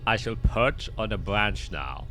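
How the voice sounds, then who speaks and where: A man's voice: fairly low pitch, lively intonation, unhurried, pushed and bright, faint music behind, voice pushed up, full character voice. A man voicing a small, gloomy, sarcastic familiar in a tabletop game, indignant and melodramatic. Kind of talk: tabletop roleplay.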